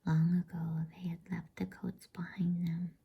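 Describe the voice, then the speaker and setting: A woman reading softly in a breathy whisper, in a soothing, unhurried ASMR bedtime story style. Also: fairly low pitch, flat delivery, lo-fi sound. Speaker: host and storybook reader.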